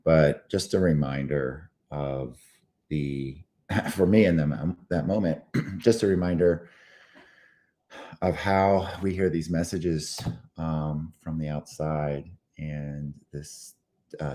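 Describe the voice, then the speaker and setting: A man hosting a short morning show, talking casually while hoarse and tired, clearing his throat often and pausing on fillers. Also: rumbling low, steady tone, warm tone. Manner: frequent throat clearing, halting with many fillers.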